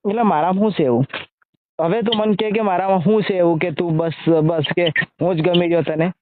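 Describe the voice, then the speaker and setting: Man, slightly high voice, scolding and fed up, casual phone argument, thin narrow-band line. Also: fairly quick. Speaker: social media video creator taking a call. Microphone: phone call.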